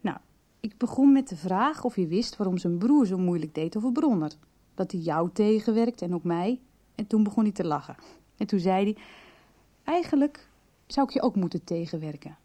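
Radio soap: actress as calm, understanding female confidante and investigator, mellow tone, conversational storytelling. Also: medium pitch, moderate pace, natural voice. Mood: engaged, explanatory. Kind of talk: Dutch radio soap acting.

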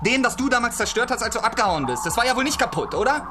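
Fast-talking hot-headed young rebel played by an actress. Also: female voice, on the high side, animated, natural voice. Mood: brash. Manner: pushy, accusing. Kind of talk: German radio drama acting. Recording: music behind the voice.

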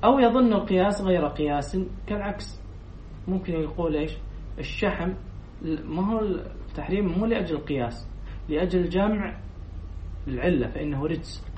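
A man teaches a class of students, reading out a text and explaining it line by line. His voice sits a little high for a man. It is picked up from across an echoey room with a dull, muffled tone and faint noise underneath. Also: lively intonation, fairly quick, darkish tone, recorded from a distance. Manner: quizzes students, then repeats their answers.

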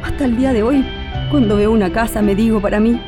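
A woman's voice: fairly high pitch, steady tone, fast, music in the background, close to an everyday voice. An actress playing an anxious, tender middle-aged mother with a Chilean accent in a radio drama. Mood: tender, nostalgic. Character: anxious, grieving Chilean mother lost in memories.

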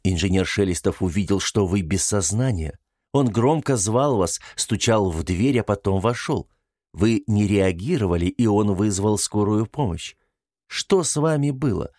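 A man voicing a female investigator in an audiobook, questioning firmly yet sympathetically in a calm way. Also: medium pitch, normal speed, no room sound, studio quality, natural voice. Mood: soft, sympathetic.

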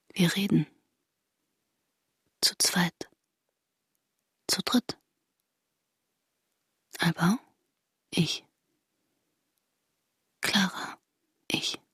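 An actress playing the haunted inner voice of a stunned woman in a radio drama, half-whispering in halting, sparse words. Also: lowish voice, a bit bright, slightly rough, no room sound, studio quality, exaggerated voice. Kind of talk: intimate literary radio drama monologue.

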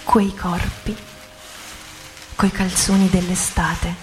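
A woman narrator giving a dramatic literary reading in radio theatre, with measured, deliberate phrasing. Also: on the low side, little pitch movement. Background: music.

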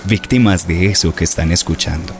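A man's voice: low voice, a little fast. A man delivering scripted narration for a radio drama. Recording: clear instrumental music behind.

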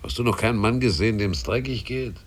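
An actor in a radio play voicing a shabby, grasping sailor drifter, gravelly, cocky and aggrieved in slangy speech. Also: male voice, fairly low pitch, quick pace, natural voice. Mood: aggrieved, ranting. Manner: aggrieved, cocky, slangy, swinging from grumble to outburst.

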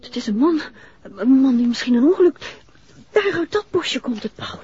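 A woman's voice: high-pitched, very animated, moderate pace, a little mellow, husky, exaggerated voice. A woman with a Netherlands Dutch accent playing a witty, slightly wary detective's wife in a 1960s radio drama. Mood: anxious. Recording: muffled, noisy 1960s radio recording.

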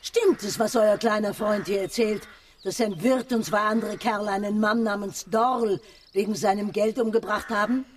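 An actress playing a brisk, shrewd woman who runs a travelling theatre troupe in a children's radio play. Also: medium pitch, fairly even intonation, slight background noise, natural voice. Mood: brisk, sceptical.

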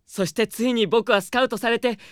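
A woman's voice: fairly high pitch, steady tone, fairly quick, clean tone, no room sound, studio quality, lightly acted. A woman voicing a proud, self-absorbed young male pop idol in an anime audio drama, boastful, earnest and dramatic in delivery. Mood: boastful, reminiscing. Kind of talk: anime audio drama acting with first-person narration.

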